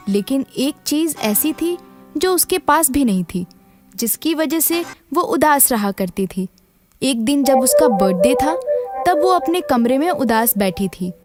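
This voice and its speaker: A woman tells a scripted children's story in a high voice with very lively, swinging intonation. Soft music runs behind the narration.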